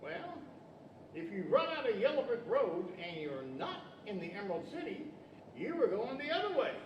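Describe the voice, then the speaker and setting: A man playing a pompous, theatrical wizard who explains things deliberately in an old radio-play style. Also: high-pitched, very animated, muffled recording. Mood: explaining. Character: male wizard of Oz, fussy, theatrical, learned magician. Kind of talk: 1940s radio-style stage acting. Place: library stage, microphones on stands. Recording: echoey hall from far off, noisy.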